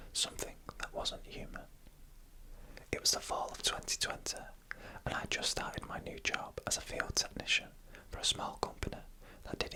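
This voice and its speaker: A narrator reading eerie stories in a hushed ASMR whisper, with deliberate pauses between phrases. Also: rough recording.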